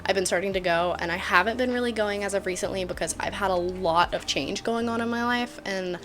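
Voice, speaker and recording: A woman's voice: medium pitch, fairly quick, a bit bright. A twenty-year-old woman talking casually to camera at home in a chatty vlog, joking and full of fillers. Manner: bubbly, joking, filler-heavy. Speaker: vlogger.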